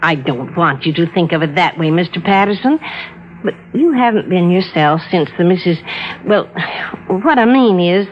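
A woman's voice: lowish voice, lively intonation, moderate pace, natural voice. An actress playing a deferential, fussing, worried cleaning woman in a vintage radio drama. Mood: concerned, deferential. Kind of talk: 1940s-50s radio drama acting. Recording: muffled old radio recording.

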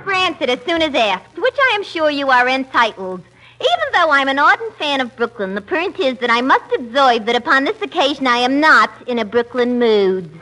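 A woman's voice: high voice, sing-song, moderate pace, pushed and bright, rough recording. A woman guest actress in bubbly, mock-formal comedy banter on a 1940s radio broadcast recording. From Toledo, Ohio.

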